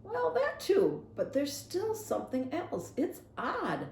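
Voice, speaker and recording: Storybook preacher dad, voiced by a woman: reserved, grave, hesitant, mellow and creaky, echoey room. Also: high-pitched, huge pitch swings, takes it slowly, a little hiss or hum, voice pushed up, exaggerated voice. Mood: pensive, melancholy. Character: reserved, melancholy preacher father, gentle but firm. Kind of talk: acted children's novel read-aloud.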